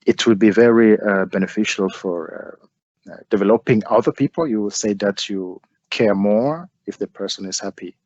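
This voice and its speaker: Low, husky male interviewer. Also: normal speed, darkish tone, dry recording, highs cut off. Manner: affirming, many uh fillers. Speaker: interviewer host. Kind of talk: casual interview talk.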